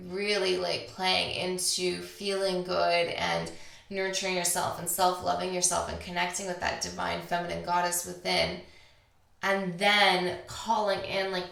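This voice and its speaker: A woman hosting a solo spiritual podcast, talking intimately and reflectively with a slightly raspy voice and drawn-out pauses. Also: on the low side, fairly bright tone. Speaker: podcast host. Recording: echoey, roomy sound.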